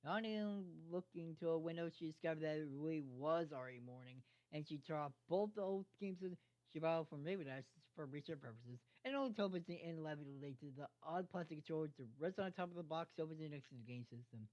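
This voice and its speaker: An amateur reader narrating a fan fiction story aloud in a halting way, stumbling over words and repeating phrases. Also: medium pitch, a little mellow. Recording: dull lo-fi microphone sound.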